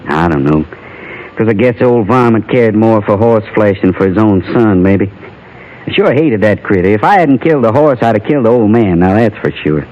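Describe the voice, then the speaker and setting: A man's voice: lowish voice, animated, normal speed, a little mellow, lightly acted. An American actor playing a bitter, wistful condemned outlaw in his thirties, with a rustic frontier drawl, in an old radio western. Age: 30s. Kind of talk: 1950s radio western acting. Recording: dull lo-fi vintage radio recording.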